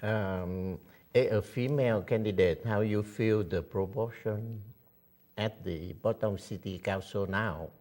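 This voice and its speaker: A man interviewing a guest, asking questions in a halting way as he searches for his words. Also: fairly low pitch, darkish tone. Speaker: interviewer, local TV host.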